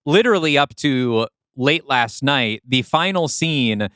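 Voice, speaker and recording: A man's voice: medium pitch, expressive, a little slow, fairly bright tone, dry recording, studio quality. A man co-hosting a podcast, chatting casually about creative work. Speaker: podcast co-host. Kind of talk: casual chat about writing a movie script.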